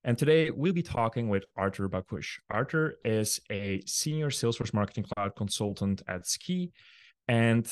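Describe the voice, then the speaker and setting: Belgian male podcast host, Dutch native, low smooth voice, dry close-miked sound; easygoing chat. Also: moderate pace, no echo. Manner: relaxed, friendly, many fillers. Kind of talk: casual interview chat.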